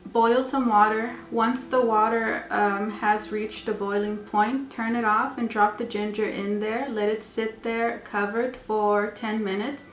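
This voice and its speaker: A woman's voice: a little high, little pitch movement, a little slow, hardly any pauses. A woman giving a tarot reading to her viewers while losing her voice, rambling and repeating herself with many fillers. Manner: rambling, repeats words with many ums and uhs. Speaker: tarot reader. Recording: echoey room with faint noise.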